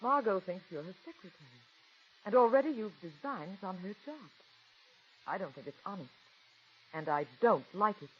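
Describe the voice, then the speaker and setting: An actress voicing a worldly, frank middle-aged woman who also narrates, in a wry, crisp 1940s radio delivery. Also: mid-range voice, expressive, normal speed, pauses often. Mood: stern. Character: worldly female playwright's wife, wry and frank. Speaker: narrator and character. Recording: dull 1940s radio broadcast with faint music.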